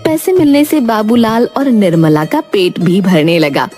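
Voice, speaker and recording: A woman's voice: mid-range voice, normal speed, darkish tone, faint music behind. A woman narrator reading a scripted fairy tale as a storyteller.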